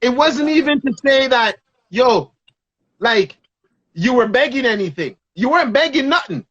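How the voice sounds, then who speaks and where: A man's voice: very high-pitched, lively intonation, moderate pace, a bit bright. A man hosts a casual conversation. He leans on slang and repeats himself to drive points home. The sound is dull and lo-fi, like a livestream. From Toronto, Canada. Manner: emphatic, repeats phrases. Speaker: show host. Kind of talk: casual, slangy reminiscing with a guest.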